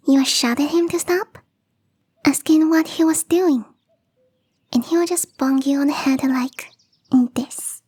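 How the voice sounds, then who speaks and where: A woman voicing a gentle young catgirl gardener in an intimate ASMR roleplay, speaking in a soft, breathy, whispery voice straight to the listener. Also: high voice, fairly even intonation, unhurried, pauses often. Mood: fond, reminiscing. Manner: gently teasing, confiding, addressing the listener directly.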